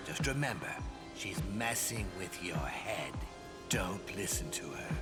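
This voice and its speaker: A man's voice: low voice, sing-song, a little slow, loud music behind the voice. A man voicing a sly, bitter video game guide in a theatrical, insinuating murmur. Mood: bitter, scornful. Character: sly mysterious male guide, bitter, egging on rebellion.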